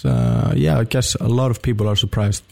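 A young man, speaking in a somewhat low voice. He answers loosely and hesitantly, filling pauses with "uh" and "like". He sounds like a musician chatting on a radio interview. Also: sing-song, gravelly. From Portugal. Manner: hesitant, many fillers and restarts. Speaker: band member guest.

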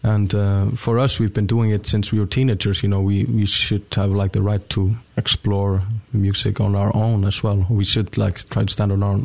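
A man's voice: lowish voice, little pitch movement, normal speed, vocal fry, few pauses, darkish tone. A young man, a band member guesting on a radio interview, talking casually with lots of fillers and restarts. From Portugal. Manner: hesitant, many fillers and restarts.

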